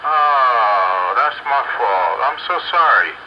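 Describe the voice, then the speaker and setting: Male CB operator, mid-pitched, folksy casual chat, muffled transmission with clear static noise. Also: lively intonation, takes it slowly. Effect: radio transmission. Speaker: radio operator. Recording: dull, noisy two-way radio signal.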